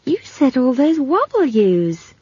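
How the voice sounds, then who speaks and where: A woman reader puts on a curious little country girl for a storybook. She is frank and conversational, pitched a bit high, on slightly dull-sounding audio. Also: very animated, takes it slowly, mellow, slightly rough, no room sound, full character voice. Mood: insistent, practical. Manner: matter-of-fact, conversational. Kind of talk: audiobook character voice.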